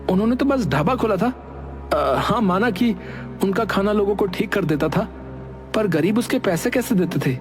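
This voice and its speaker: A man's voice: high-pitched, animated, moderate pace. A man playing a timid, troubled young village cook in a cartoon story, hesitant and questioning. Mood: troubled, polite. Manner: hesitant, questioning, easily startled. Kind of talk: Hindi cartoon story acting. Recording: reverberant hall sound with faint music.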